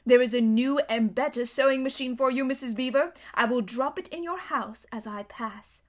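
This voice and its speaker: A woman voicing Father Christmas in a storybook reading, a huge, glad old man speaking in slow, grand pronouncements. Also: high voice, natural voice. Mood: solemn.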